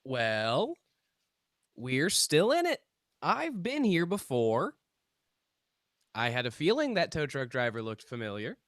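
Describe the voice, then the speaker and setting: A man's voice: mid-range voice, huge pitch swings, takes it slowly, long pauses, a bit bright, no room sound, clean studio sound, full character voice. A man playing a breezy young stoner who works as an amateur paranormal investigator, offhand yet earnest, in a live table read. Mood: sheepish.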